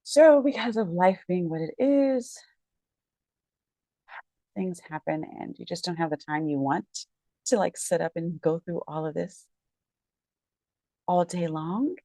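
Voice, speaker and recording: A woman's voice: on the low side, slow pace, long pauses, warm tone, no echo. A woman legal commentator talking casually about a court case, her voice tired and worn, rambling and correcting herself as she goes. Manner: rambling, self-correcting, apologetic. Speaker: real estate agent and legal commentator. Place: home, late at night.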